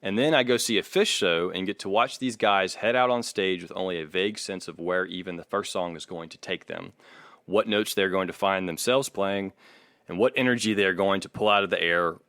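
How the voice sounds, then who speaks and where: Man, lowish pitch, dry recording, podcast co-host, casual, opinionated, reading aloud and commenting. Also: fairly quick, fairly bright tone, dry recording.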